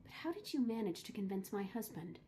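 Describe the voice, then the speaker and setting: Woman acting as imperious game mother, middle pitch, haughty, cold, dramatic, faint noise behind. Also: lively intonation, a little fast, mellow, natural voice. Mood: composed, cold.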